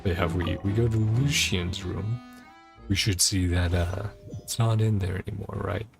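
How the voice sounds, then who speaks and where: Low, creaky-voiced man musing over a game. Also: fairly even intonation, normal speed. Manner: musing, piecing a mystery together aloud. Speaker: streamer playing a video game. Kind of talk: casual gameplay commentary, thinking aloud. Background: game music.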